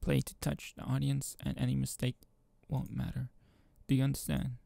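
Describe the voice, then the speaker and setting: A man voicing a fond, encouraging French noble in a visual novel, speaking tenderly and coaxingly in a gravelly voice. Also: mid-range voice, monotone, normal speed, warm tone, dry recording. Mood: fond, reassuring.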